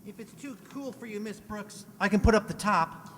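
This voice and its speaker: Earnest chatty high school lad acted by a man, high voice, 1940s radio sitcom style, roomy hall sound. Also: moderate pace, a bit bright, a little hiss or hum. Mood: helpful. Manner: earnest, gushing. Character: eager, talkative teenage high school boy. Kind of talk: 1940s radio comedy acting.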